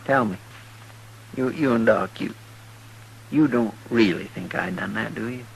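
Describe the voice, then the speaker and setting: An actor playing an anxious, simple frontier deputy in a radio western, with a rural Western drawl and a halting, pleading manner. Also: male voice, fairly low pitch, vocal fry, slightly rough, heavily acted, low-quality recording. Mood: dejected, worried. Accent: rural Western American drawl. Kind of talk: 1950s radio western acting. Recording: old radio recording, faint hum and hiss.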